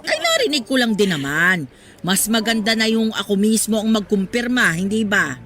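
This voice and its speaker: An actress playing a judgmental older village busybody in a radio drama, prying with false concern. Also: female voice, mid-range voice, very animated, moderate pace, a bit bright. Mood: feigned innocence. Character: nosy, judgmental older village gossip woman. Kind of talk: Tagalog radio drama acting. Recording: background music under dialogue.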